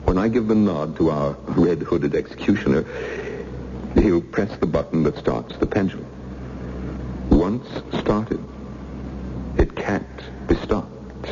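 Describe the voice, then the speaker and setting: A man playing a suave, menacing villain in old-time radio thriller style, mock-polite and drawn-out, theatrically taunting. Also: deep voice. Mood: cold menace. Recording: dull, hissy old radio recording.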